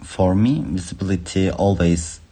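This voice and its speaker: A man from Turkey with a Turkish accent giving testimony as a guest, speaking haltingly in short phrases. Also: low-pitched, mellow, breathy edge, no room sound. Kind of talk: spoken testimony in English.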